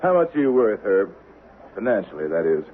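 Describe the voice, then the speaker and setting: An actor playing a cool, calculating man out for revenge in the Old West, speaking with deliberate, dryly taunting control in a 1970s radio drama. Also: fairly low pitch, big pitch swings. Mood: taunting, assured. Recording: narrow-band vintage radio recording with faint hiss.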